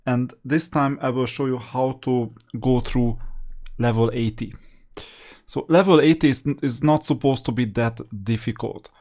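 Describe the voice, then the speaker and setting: A man giving casual gameplay commentary as a guide, explaining his choices and thinking aloud in a halting way. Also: medium pitch, steady tone, darkish tone.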